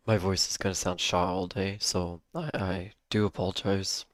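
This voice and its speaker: A man hosting a live day-trading stream, hoarse and losing his voice, talking casually with a cough drop in his mouth and clearing his throat. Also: deep voice, level tone, moderate pace, no echo, studio quality. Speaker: livestream day-trading host.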